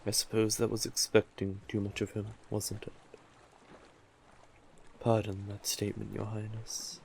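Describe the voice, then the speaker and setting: A monstrous yet civil male sea witch acted by a man speaks in a low, very unhurried voice. He is soothing and teasing, with courtly manners and lingering endearments, as in an intimate audio drama. Noticeable background noise sits behind the voice. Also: monotone, gravelly.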